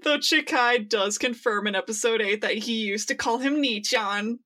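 A woman's voice: on the high side, normal speed, pushed and bright. A woman co-hosting a podcast in casual fan discussion, with a wry, playfully exaggerated way of talking. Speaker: podcast co-host.

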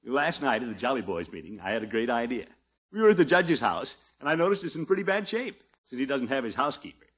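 An actor playing a pompous, jovial middle-aged uncle and town commissioner in a 1950s radio sitcom, blustery and pleased with himself. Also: male voice, medium pitch, big pitch swings, fast talker, husky. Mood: self-satisfied. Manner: self-satisfied, chuckling, blustery. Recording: muffled 1950s radio recording.

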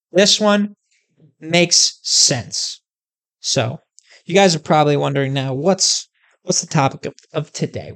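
A video host delivering a comedic rant straight to the viewers, with a middle pitch. The speech is profane and emphatic, and the talk has an animated, worked-up energy.

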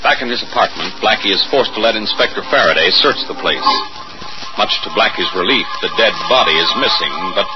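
A man's voice: lowish voice, animated, fairly quick. A man narrating a story recap as the announcer of a 1940s radio show, in scripted style. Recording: dull old radio recording, loud music. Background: loud music.

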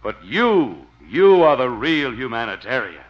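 Flattering male con man, 1950s radio comedy: folksy, ingratiating, hugely animated delivery, muffled old recording. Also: mid-range voice, unhurried.

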